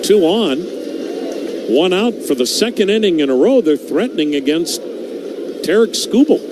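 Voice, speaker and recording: A man calling baseball play-by-play on the radio, conversational and steady, mixing the action with stats and stories. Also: high-pitched, a little slow, noisy background.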